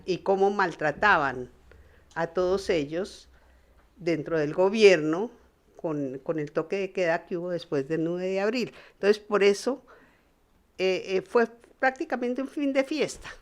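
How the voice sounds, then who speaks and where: A woman's voice: on the low side, a little slow. A woman explaining conversationally, adding her thoughts piece by piece.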